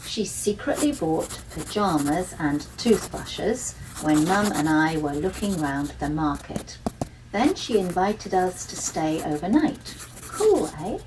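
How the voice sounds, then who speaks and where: A woman's voice: lowish voice, animated, slow pace, a little mellow, a little hiss or hum. Woman voicing an earnest schoolchild diarist, slightly distant. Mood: earnest, deliberate. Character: schoolchild Joe, earnest, quirky Year 6 diarist. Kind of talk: first-person children's audiobook narration.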